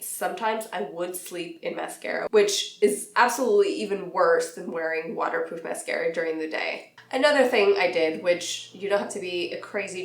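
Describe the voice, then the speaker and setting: A young woman talks to her camera in a chatty, easygoing way, laughing now and then between sentences. Her voice sits a bit low for a woman and sounds a little nasal and raspy as she gets over an illness. The recording has a noticeably roomy echo. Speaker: beauty vlogger. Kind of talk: casual talk to camera.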